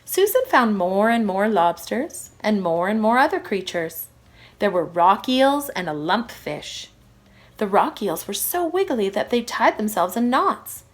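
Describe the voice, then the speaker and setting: Lady reading a kids book out loud, really animated pitch, teacher style, stresses words for little ones. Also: measured pace. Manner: expressive, emphatic phrasing for young children. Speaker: primary school teacher reading aloud. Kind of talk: children's storybook read-aloud.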